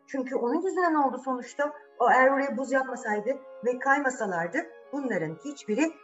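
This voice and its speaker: A woman tells a children's fairy tale in a very animated, dramatic way. She chats informally to young listeners and keeps asking them questions, with music playing softly behind her. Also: high voice, fairly quick, dull audio. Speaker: storyteller.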